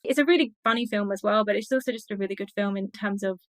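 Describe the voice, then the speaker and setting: A woman's voice: medium pitch, fast talker, no room sound, clean studio sound. A woman speaking as an expert interview guest, explaining in a conversational, scholarly and enthusiastic way.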